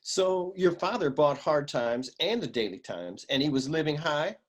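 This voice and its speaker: A hardboiled private eye from a comic radio serial, acted by a man, slinging slang with a straight face. The voice is distinctly husky and swings widely in pitch, with the cocky patter of a noir wisecracker. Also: normal speed. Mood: probing, deadpan. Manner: deadpan, slangy tough-guy delivery.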